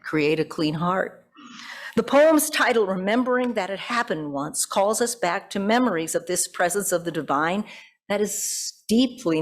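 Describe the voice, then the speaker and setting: Reflective woman preaching a sermon. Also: lowish voice. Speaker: pastor. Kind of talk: sermon with poetry quotations.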